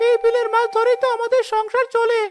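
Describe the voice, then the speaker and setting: A man's voice: very high-pitched, monotone, fast, music in the background. A man playing an alarmed, subservient villager in a cartoon. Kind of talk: dramatic cartoon voice acting.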